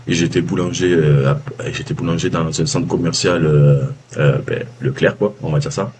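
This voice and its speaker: Man, Southern French accent, very deep voice, halting, stumbling speech, faint background hiss. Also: fairly even intonation, a little mellow. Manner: halting, many fillers and restarts. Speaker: interviewee, former baker. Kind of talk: casual video-call testimonial. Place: calling from abroad, early morning.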